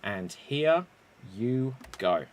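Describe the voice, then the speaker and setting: A man hosting an improv competition, explaining the rules clearly and deliberately. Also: mid-range voice, animated. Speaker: improv show host. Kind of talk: game show hosting.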